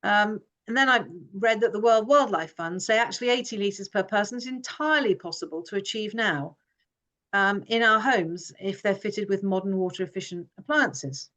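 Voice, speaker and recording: Woman raised in Hong Kong, forum speaker, earnest and halting, mid-pitched voice. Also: a bit bright. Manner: earnest, many restarts and fillers. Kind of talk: informal presentation.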